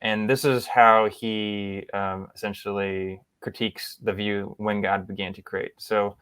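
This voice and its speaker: A man hosting a casual interview, putting his questions in a tentative, halting way. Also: lowish voice, level tone, a bit bright, clean tone, no room sound, rough recording. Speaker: interviewer, host.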